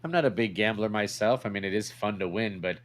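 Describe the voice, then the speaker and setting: A man's voice: fairly low pitch, fast talker. A man co-hosting a podcast in a casual, opinionated conversational style. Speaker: podcast co-host.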